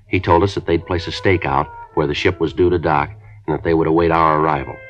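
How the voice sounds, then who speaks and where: An actor playing a police detective who narrates a 1950s radio drama in a clipped, matter-of-fact delivery. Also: male voice, extremely low pitch, fairly even intonation, fast talker, soft background music. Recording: muffled old radio broadcast.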